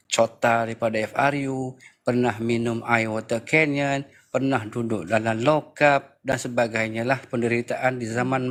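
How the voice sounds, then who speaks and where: A man in his fifties with a Malaysian accent gives informal political commentary, speaking emphatically with repeated phrases and rhetorical questions. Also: mid-range voice. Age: 50s. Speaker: panel speaker in a live political discussion room.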